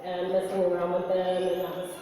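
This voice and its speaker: A woman artist with a cold, her voice raspy and nasal, talking informally about her work with many fillers. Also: on the low side, completely flat delivery, fairly quick, dark tone. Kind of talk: casual artist talk. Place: large echoey gallery. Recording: echoey hall from far off.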